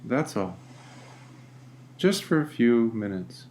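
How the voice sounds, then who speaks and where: A man leading a guided meditation as a teacher, speaking gently and deliberately with long pauses. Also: mid-range voice, big pitch swings, a little hiss or hum.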